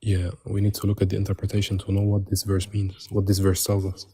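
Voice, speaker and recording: A man's voice: sits low, completely flat delivery, fast, mellow, slightly rough, no echo. A man speaking through a voice changer in a live religious debate, terse and insistent, with a muffled voice. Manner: terse, insistent, repeatedly pushing to move on. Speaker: debate participant.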